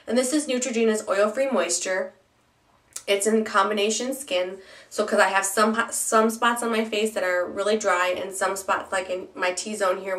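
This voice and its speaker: A woman's voice: medium pitch, fairly bright tone, echoey room, distant mic. A young woman with a stuffy, nasal voice from a cold, chatting casually through a product review with plenty of ums and restarts. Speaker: beauty vlogger.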